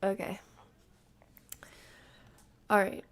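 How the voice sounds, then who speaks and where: A woman streamer giving casual, playful commentary to a live chat, talking in internet slang. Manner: slangy, playful, drawn-out delivery. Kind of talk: casual livestream commentary, chatting with viewers.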